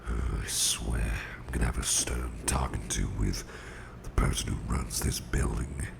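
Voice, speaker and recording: A man playing a gruff but tender, protective boss in an ASMR roleplay, murmuring soothingly in a gravelly, breathy half-whisper. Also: extremely low pitch, steady tone, noisy background, full character voice. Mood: grumbling. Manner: soothing, unhurried, folksy, murmured. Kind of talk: ASMR roleplay, intimate personal attention.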